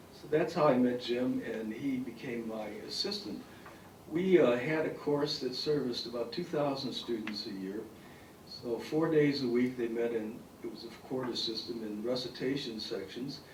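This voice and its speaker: A retired professor in his later years speaks with a raspy, hoarse voice. He rambles through stories full of fillers. The sound is echoey and comes from across the room. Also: medium pitch, far from the mic, slight background noise. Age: older. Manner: rambling anecdotes with frequent fillers. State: hoarse from bronchitis. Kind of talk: speaking at a farewell event. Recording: echoey hall recording from afar.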